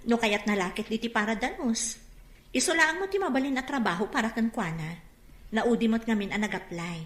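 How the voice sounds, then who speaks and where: A woman's voice: a little high, animated, fairly quick, natural voice. A Filipino woman acting a curt, bossy young woman who does the hiring at an inn, in a radio drama. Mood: defensive. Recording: roomy, echoing sound.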